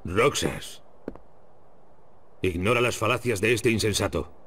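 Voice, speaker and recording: Man acting a deep, commanding masked villain, Latin American. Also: unhurried, fairly bright tone, a little hiss or hum. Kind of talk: amateur game fan dub in Spanish.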